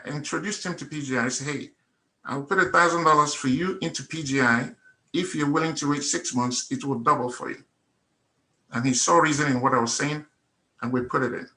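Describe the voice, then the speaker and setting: Guy, middle-aged, bright voice, earnest testimony, hammers the same phrase over and over. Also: a little high, poor audio. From Nigeria. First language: Igbo. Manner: earnest, emphatic, repeats phrases for effect. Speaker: testimonial speaker. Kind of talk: personal testimony at a meetup.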